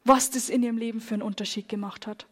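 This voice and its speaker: A woman speaking in a church, telling moving stories with an earnest tone and dropping to a hush at the gravest moments. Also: fairly high pitch, steady tone, very fast. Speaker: church speaker on persecuted Christians. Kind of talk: sermon-style testimony.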